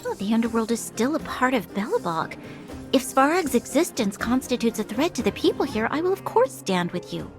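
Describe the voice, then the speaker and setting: Poised young noblewoman, higher voice, lively intonation, formal, earnest, video game, soft music. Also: fairly quick. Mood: earnest, resolute. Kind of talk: video game dialogue acting.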